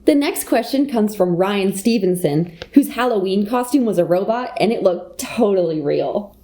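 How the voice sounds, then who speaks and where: A woman's voice: mid-range voice, expressive, normal speed, warm tone, lightly acted. A young woman playing a formal schoolgirl who moderates a class election debate in a comic radio play. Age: young adult.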